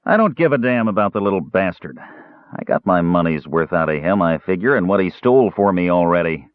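A man voicing a shrill, scheming male dwarf slave-owner who snarls, sneers and cackles. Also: big pitch swings, brisk, no room sound. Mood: snarling. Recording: dry, phone-like narrow band.

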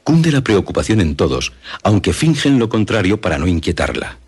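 Male narrator, Castilian accent, deep with a raspy edge, formal and measured scripted storytelling. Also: very animated. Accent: Castilian Spanish. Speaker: radio drama narrator.

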